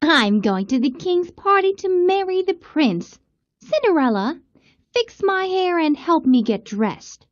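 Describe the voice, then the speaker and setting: A woman's voice: very high-pitched, big pitch swings, a little slow, no room sound, lo-fi sound, heavily acted. A woman playing a haughty, spiteful villainess in a storybook, bossy and sneering. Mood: smug, bossy. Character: haughty, spiteful young stepsister or stepmother.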